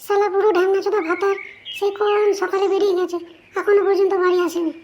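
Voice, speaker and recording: A woman voicing a shrill, flirty, dissatisfied wife in a comedy cartoon, complaining and coquettish, on dull lo-fi audio. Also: level tone, quick pace, a little mellow. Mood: grumbling. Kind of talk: amateur comedy cartoon dialogue. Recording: dull lo-fi audio with faint noise.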